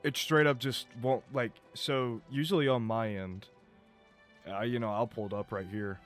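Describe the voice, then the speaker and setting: A man streaming a game live, talking casually and rambling, his voice going a bit rough as he loses it. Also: medium pitch, steady tone, moderate pace, soft background music. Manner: rambling, chatty, swears and laughs. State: losing his voice.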